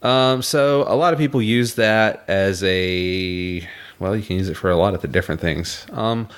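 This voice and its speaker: A young man with a scratchy throat, co-hosting a casual podcast and explaining things in a measured way. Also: low voice, clear, resonant tone. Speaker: podcast co-host.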